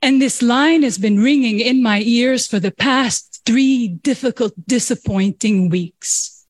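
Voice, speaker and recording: A woman's voice: fairly high pitch, slow pace, no room sound. A woman professor and festival organizer giving an impassioned, declamatory speech. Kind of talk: formal speech with recited poetry.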